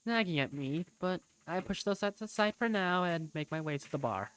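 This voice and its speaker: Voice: mid-range voice, animated, normal speed, fairly bright tone, clean tone, dry recording, low-quality recording. A narrator reading scripted first-person story narration for a game fandub. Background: background music.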